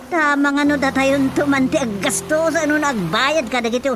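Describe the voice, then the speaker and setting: A woman's voice: high voice, normal speed, background noise, close to an everyday voice. A woman with an Ilocano accent playing a fussy, practical, scolding mother in a radio drama comedy, grumbling and emphatic.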